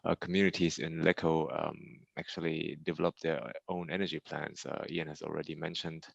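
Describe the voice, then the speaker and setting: Gravelly deep-voiced conference moderator. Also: male voice, little pitch movement, no room sound. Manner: polite, halting with many uh fillers. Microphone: video call mic. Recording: dry lo-fi video call audio.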